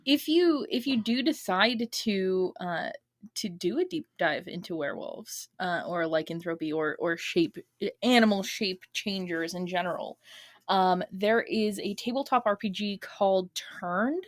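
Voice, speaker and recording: A woman chatting casually as a podcast guest, halting and pausing mid-sentence to pick her words. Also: mid-range voice, expressive, no echo, studio quality. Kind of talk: casual chat.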